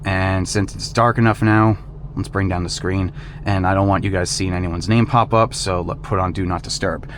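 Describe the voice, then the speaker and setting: A man in his thirties vlogging casually from a moving convertible, relaxed and chatty with frequent fillers. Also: deep voice, normal speed. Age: 30s. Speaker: YouTube creator. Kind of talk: casual vlog while driving. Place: inside a convertible car on the road. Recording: loud road noise in a moving car. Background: loud road and engine noise.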